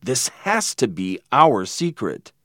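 A man's voice: mid-range voice, sing-song, slow pace, bright, forceful tone, no room sound, studio quality, exaggerated voice. A man voicing a brave, insistent young boy in a storybook, urging his friends. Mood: urgent.

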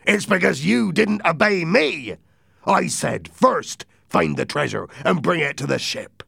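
A man's voice: a little high, animated, a little slow, gravelly, pushed and bright, husky, dry recording, clean studio sound, pitched above the performer's own voice, heavily acted. A man voicing a wily, commanding pirate leader in a storybook reading. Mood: indignant, commanding.

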